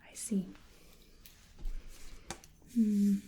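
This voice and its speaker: Female ASMR performer as a curious, polite examiner: breathy half-whisper, mellow tone, gentle and musing, unhurried. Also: mid-range voice, little pitch movement. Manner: gentle, hesitant, musing delivery. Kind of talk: soft-spoken ASMR roleplay.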